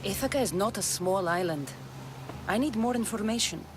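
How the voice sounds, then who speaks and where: An actress playing a tough young mercenary in a video game, speaking in a blunt, wary, wry way. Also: female voice, medium pitch, expressive, moderate pace, close to an everyday voice. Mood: skeptical, businesslike. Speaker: video game character actor. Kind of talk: dramatic video game dialogue. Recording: music playing under the dialogue.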